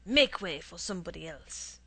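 Woman doing a curt storybook shop man, impatient, rough, loud, lively pitch. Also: measured pace. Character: impatient, gruff male bean seller.

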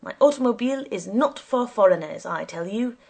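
Woman playing cranky old male cab driver, storybook character, husky, indignant, formal, declamatory. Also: a little high, expressive, slow pace, pitched above the performer's own voice, full character voice. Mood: enraged. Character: enraged, perverse old male taxi driver, easily offended.

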